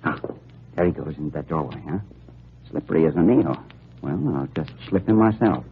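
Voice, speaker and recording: An actor playing a cocky young rookie federal agent, muttering slangy thoughts to himself in an old radio drama. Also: male voice, rumbling low, animated, a little fast. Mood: wary musing. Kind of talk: 1940s radio acting. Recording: noisy, narrow-band vintage radio recording.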